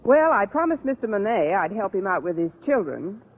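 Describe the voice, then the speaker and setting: An actress playing a wisecracking, deadpan American schoolteacher in a 1940s radio sitcom. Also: female voice, mid-range voice, a little fast. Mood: dry, confiding. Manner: deadpan, wry. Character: wisecracking single female schoolteacher, dry and wry. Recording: muffled, hissy vintage radio recording.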